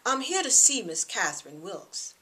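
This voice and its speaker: A woman voicing a serious, bookish, polite young man in a novel, formal and measured. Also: mid-range voice, big pitch swings, pushed and bright. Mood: formal, solemn. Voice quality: smooth.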